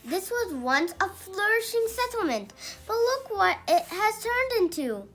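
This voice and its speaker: High-pitched, animated child storyteller. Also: unhurried, soft background music. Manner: careful, emphatic reading with occasional stumbles. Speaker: young storyteller reading aloud. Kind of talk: read-aloud mythological storybook narration.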